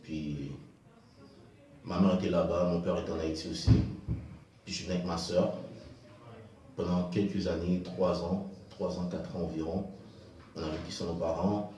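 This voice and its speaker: A man from Haiti answering interview questions in a halting way, repeating words as he talks. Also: sits low, little pitch movement, mellow, far from the mic. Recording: echoey room, off-mic.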